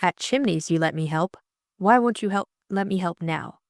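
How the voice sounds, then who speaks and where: A woman's voice: fairly low pitch, normal speed, creaky, dry recording, clean studio sound. A woman voicing a bold, eager young lady amateur sleuth in an audiobook, earnest and insistent. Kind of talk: audiobook character acting.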